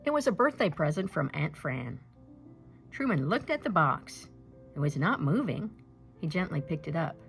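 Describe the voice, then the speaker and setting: Female picture-book reader, expressive and deliberate, with a lively pitch range over background music. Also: vocal fry. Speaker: storybook reader. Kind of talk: scripted children's picture-book read-aloud.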